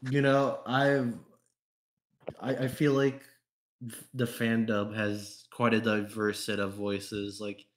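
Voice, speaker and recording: A man's voice: on the low side, flat delivery, slow pace, a little hiss or hum. A man hosting a casual group podcast, talking in a hesitant, rambling way and swearing casually. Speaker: podcast host.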